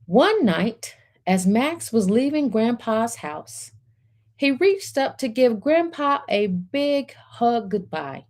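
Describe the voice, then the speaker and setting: Woman, storytime host and reader. Soft-edged mellow tone, unhurried pace, measured phrases with pauses. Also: fairly high pitch, sing-song. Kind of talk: gentle guided centering and picture book read-aloud.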